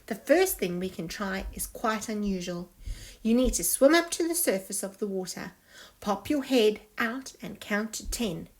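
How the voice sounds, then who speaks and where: A woman's voice: mid-range voice, animated, a little slow, natural voice. A woman voicing a tiny, helpful, upbeat fish friend in a storybook, encouraging and reassuring. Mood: encouraging, confident.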